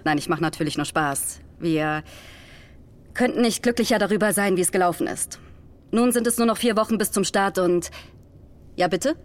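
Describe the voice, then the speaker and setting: An actress playing a sharp-tongued female astronaut in a scripted German audio drama. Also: medium pitch, normal speed, long pauses, lightly acted. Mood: composed, public. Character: irritated, sharp-tongued female astronaut, composed and joking in public.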